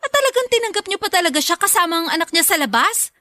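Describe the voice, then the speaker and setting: High-voiced, resentful adult daughter. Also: female voice, very animated, quick pace, pushed and bright, dry recording, studio quality, exaggerated voice. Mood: bitter, angry. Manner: indignant, confrontational. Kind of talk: Filipino radio drama acting.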